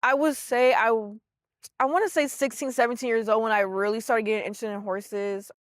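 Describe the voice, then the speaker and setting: A young adult woman volunteer speaking casually and a bit haltingly in a documentary interview. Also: a little high, fairly even intonation, no echo, clean studio sound.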